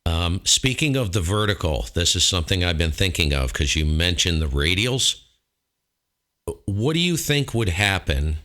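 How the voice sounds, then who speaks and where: A man's voice: low-pitched, sing-song, moderate pace, bright, forceful tone. A man talking casually as an amateur radio operator, thinking aloud as he goes. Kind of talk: casual ham radio chat.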